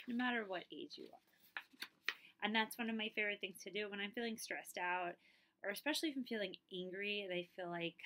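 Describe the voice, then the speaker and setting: A woman in her thirties or forties reading a picture book aloud as a librarian, expressive and emphatic, with casual asides to listeners. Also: medium pitch, slight background noise. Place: small indoor room.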